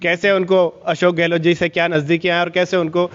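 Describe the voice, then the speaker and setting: Higher-voiced man explaining, dull sound. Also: little pitch movement, a little fast. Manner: explanatory, summarizing. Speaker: explainer presenting leaked call recordings. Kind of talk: spoken news explanation.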